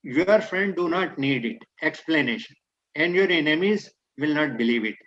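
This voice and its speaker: A man's voice: on the high side, expressive, normal speed, fairly bright tone, lo-fi sound. A man whose first language is Hindi, speaking Indian English and mixing in Hindi, giving a motivational talk on leadership that states maxims and then explains them. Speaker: guest speaker.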